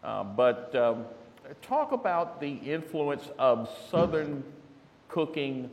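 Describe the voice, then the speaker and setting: A man moderating a live audience Q&A, formal but unscripted, with a deliberate, halting, wordy delivery. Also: fairly high pitch, big pitch swings, darkish tone. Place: large hall with an audience. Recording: echoey hall with faint background noise.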